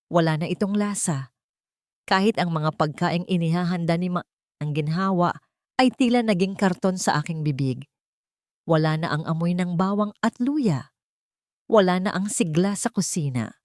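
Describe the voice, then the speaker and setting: A woman's voice: on the low side, a little mellow, dry recording, clean studio sound. A woman with a Filipino accent reading a scripted story as a dramatic audiobook narrator.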